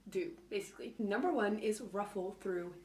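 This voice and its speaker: A woman with a low voice giving casual advice in a video, hesitant and full of fillers. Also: moderate pace. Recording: video replayed into a room mic, echoey and distant.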